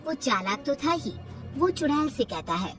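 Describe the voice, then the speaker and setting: A woman's voice: sits high, sing-song, normal speed, fairly bright tone, far from the mic, faint music behind. A woman narrating a scripted cartoon story.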